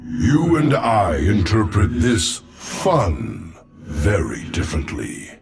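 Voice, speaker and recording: A man's voice: on the low side, wildly swooping pitch, slow, vocal fry, soft background music, heavily acted. A man voicing a grave, formal ancient alien warrior in a video game, solemn and stately. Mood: solemn disapproval.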